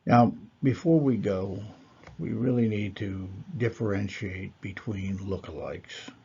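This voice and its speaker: A man giving a slow, measured Torah lesson, pausing often with fillers. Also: on the low side, gravelly, warm tone, breathy edge, highs cut off. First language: not Hebrew. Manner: measured, deliberate, with fillers and pauses. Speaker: Torah teacher. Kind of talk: slow lecture.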